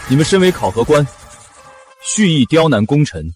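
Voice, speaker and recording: An actor playing a dignified royal prince and army commander in a Chinese costume drama. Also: male voice, a little high, very animated, normal speed. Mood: stern. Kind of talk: costume short-drama acting. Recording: loud background music over dialogue.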